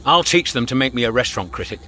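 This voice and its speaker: A man in a radio comedy drama playing a cocky, impulsive galactic travel writer, breezy, slangy and excitable. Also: mid-range voice, fast, a little hiss or hum.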